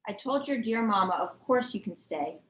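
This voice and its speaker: A woman's voice: mid-range voice, expressive, normal speed, fairly bright tone, lo-fi sound, close to an everyday voice. A woman voicing a kindly, patient older woman neighbour from a children's storybook, with homely, reassuring manner. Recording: echoey room.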